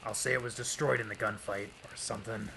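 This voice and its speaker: An actor playing a tough, seasoned police detective in an old-time radio drama, talking in clipped, hard-boiled fashion. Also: male voice, fairly low pitch, fairly even intonation, fast talker, vocal fry, noisy background. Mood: steady. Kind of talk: 1930s radio drama acting.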